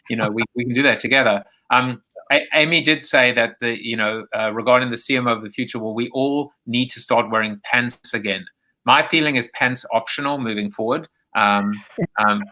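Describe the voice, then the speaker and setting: A man's voice: medium pitch, sharp tone, poor audio. A man with a South African accent hosting a live online interview show from home, talking in a rambling way with many restarts and fillers. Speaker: host. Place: home, remote video call.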